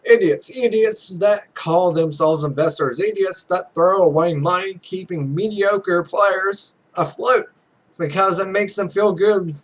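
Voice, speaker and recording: A blunt, scornful young woman gamer read aloud by a man in a visual novel. The delivery is emphatic and indignant, pitched somewhat high. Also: measured pace, poor audio.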